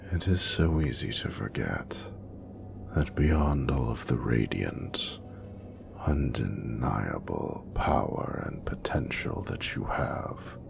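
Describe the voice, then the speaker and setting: A man voicing an ancient, regal dragon in a romantic audio roleplay, doting and flirtatious, with gravelly, breathy, often near-whispered, grandiose and formal speech. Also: very deep voice, noisy background, heavily acted. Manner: grandiose, formal, measured phrasing. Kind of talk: romantic audio roleplay, slow intimate monologue.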